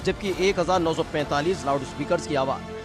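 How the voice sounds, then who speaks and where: A man's voice: fairly high pitch, fairly quick. Male news anchor over music.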